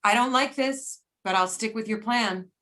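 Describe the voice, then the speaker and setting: A woman's voice: on the high side, moderate pace, sharp tone. A woman playing a feisty, blunt female agent in a readers theater drama. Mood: questioning, reluctant. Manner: blunt, challenging.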